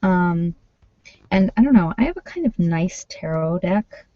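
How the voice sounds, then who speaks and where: A woman's voice: lowish voice, animated, measured pace, mellow. A woman chatting casually and informally to a live online audience, rambling a little as she thinks aloud. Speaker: ASMR creator hosting a live workshop session with tarot readings. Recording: muffled, roomy sound.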